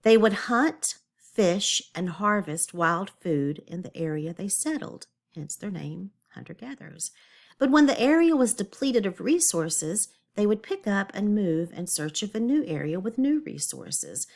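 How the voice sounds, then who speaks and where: A woman's voice: mid-range voice, lively intonation, unhurried, gravelly. A woman teacher reading a lesson aloud to children, stopping to explain words simply in an instructional way. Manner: didactic, checks understanding, explains words simply.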